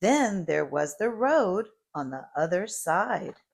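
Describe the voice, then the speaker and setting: A woman reads a picture book for preschoolers. Her delivery is very slow and deliberate, with lively swings in pitch and an expressive storytelling manner. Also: vocal fry, noisy background. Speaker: children's read-aloud host.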